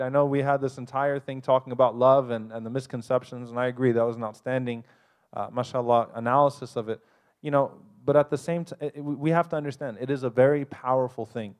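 Panel speaker at a convention, man in a middle-pitched voice, earnest and brisk when advising. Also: a little mellow. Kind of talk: live advice talk to an audience. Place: convention hall.

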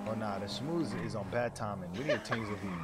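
A man's voice: lowish voice, fast talker, over music. A young man bantering casually in character during an online roleplay game, slangy and profane, repeating his phrases.

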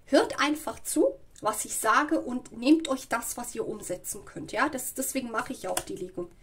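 Husky-voiced woman giving a tarot reading. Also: on the high side, expressive. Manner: slow, searching and explanatory, with frequent "ja" and "okay". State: slight cold. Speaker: tarot reader. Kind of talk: spoken tarot reading to viewers. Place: home room with a tarot table.

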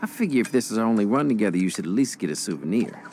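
An actor playing a young crew boss in a video game, cocky but earnest, with a casual, slangy way of talking. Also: male voice, lowish voice, big pitch swings, rapid-fire, a little mellow, slight background noise. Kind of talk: video game cutscene acting.

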